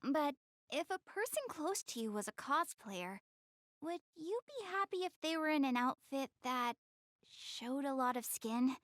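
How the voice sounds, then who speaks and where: Cute anime goddess girl, animated intonation, flustered, proud, video game dialogue, very dry close sound. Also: sits high, a little slow, no room sound, exaggerated voice. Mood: hesitant, curious. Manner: bashful, defensive, stammering when embarrassed. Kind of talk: anime video game voice acting, addressing the player.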